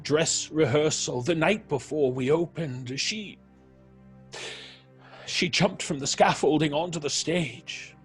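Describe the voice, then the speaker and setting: A troubled stage actor in a horror radio play. The voice sits fairly high and moves slowly, breaking into hesitant, dramatic pauses. Music plays faintly behind. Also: male voice. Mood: grieving, haunted. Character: troubled theatre actor, haunted by a past tragedy. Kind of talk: audio horror drama acting.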